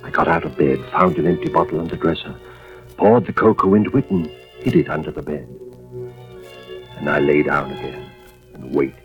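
An actor playing a weary, anxious husband who narrates a suspense tale in measured, dramatic 1940s-50s radio style. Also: male voice, very deep voice. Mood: tense, brooding. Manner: measured, dramatic first-person storytelling. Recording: muffled vintage radio recording with music.

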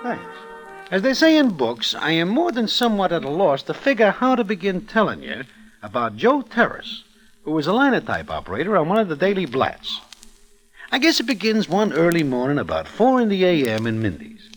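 Streetwise Broadway narrator, deadpan and roundabout, 1940s radio play, with music behind. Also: male voice, sits high, fairly quick, low-quality recording. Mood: wry. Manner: slangy, deadpan roundabout storytelling. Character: wry Broadway storyteller man, streetwise.

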